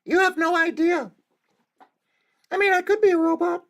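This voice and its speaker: A man on a livestream whose voice is pitch-shifted into a squeaky helium-high register, feigning puzzlement about why he sounds that way. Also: fairly even intonation, moderate pace, vocal fry, a bit bright, exaggerated voice. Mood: mock puzzled. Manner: mock-innocent, insisting nothing is wrong. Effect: pitch-shifted voice changer.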